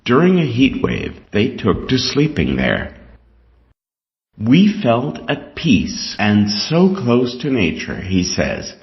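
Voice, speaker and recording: A Canadian man reading scripted test material aloud in a deliberate, instructional way. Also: mid-range voice, wildly swooping pitch, gravelly. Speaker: reader of an English exam reading passage. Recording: dull, lo-fi sound.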